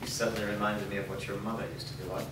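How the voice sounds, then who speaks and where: Guy playing serious loyal companion, kinda low voice, stage reading, echoey noisy distant recording. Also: level tone, very fast, close to an everyday voice. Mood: resolute. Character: loyal, grave male companion, apologetic yet resolute. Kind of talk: staged reading of a drama script. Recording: noisy, very echoey hall from far off.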